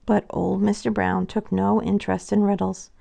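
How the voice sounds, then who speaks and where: A woman's voice: mid-range voice, level tone, normal speed, gravelly, mellow. A woman narrating a scripted children's story as a storybook reader.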